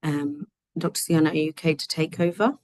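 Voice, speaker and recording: A woman hosting and translating in an online study session, talking in a gentle, chatty way with many fillers, her voice a little croaky. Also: low voice, a little fast, no room sound. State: slightly croaky voice. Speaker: host. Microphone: video call.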